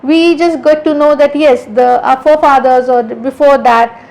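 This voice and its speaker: High-pitched woman from india, conservator, earnest, keeps restarting sentences. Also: fairly even intonation, normal speed, a little mellow, rough recording. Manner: earnest, thinks aloud with frequent restarts. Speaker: art conservator, podcast guest. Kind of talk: casual interview conversation.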